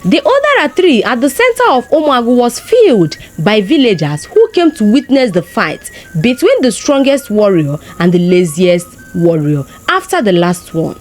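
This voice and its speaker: A woman with a Nigerian accent telling a folktale in an expressive narrating style. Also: fairly high pitch, normal speed, hardly any pauses, soft background music. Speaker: storyteller.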